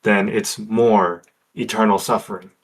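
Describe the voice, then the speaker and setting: A man playing a tabletop game, debating in character in a measured, argumentative manner. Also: on the low side, fairly even intonation.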